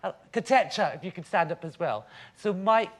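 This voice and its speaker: A man's voice: sits high, animated, normal speed, a bit bright. A man co-hosting a live show and presenting to an audience. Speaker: co-host. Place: radio theatre stage before an audience.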